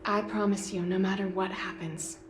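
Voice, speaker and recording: A woman's voice: mid-range voice, flat delivery, normal speed, music in the background, natural voice. Woman as a tender, wistful sweetheart, echoey. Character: tender, wistful young woman in love, gently playful. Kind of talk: English video game dub acting.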